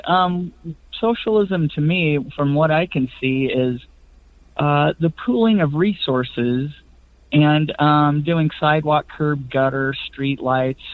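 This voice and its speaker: A man calls in to a talk radio show with an earnest, rambling comment. His voice sits a bit high and arrives thin and tinny, as if over a phone line. The sound is dry. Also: no room sound. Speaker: caller.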